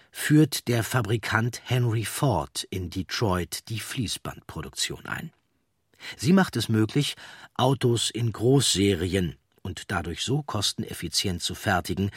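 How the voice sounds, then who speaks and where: Male narrator, low pitch, measured and articulate delivery for a documentary script. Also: fairly bright tone, husky, no echo, studio quality.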